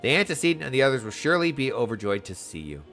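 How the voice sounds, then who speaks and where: A man plays an eager young female receptionist in a fantasy video game. She is courteous and a little anxious, speaking in formal, effusive phrases at an average pitch over faint music. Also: big pitch swings, rapid-fire, a bit bright. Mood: delighted, resolute.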